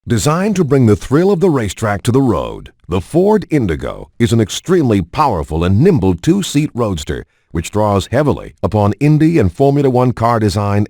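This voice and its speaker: A man giving scripted promotional narration for a car showcase in a smooth, polished announcer manner. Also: lowish voice, very animated, brisk, dark tone, dry recording, studio quality. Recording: game video narration with faint background music.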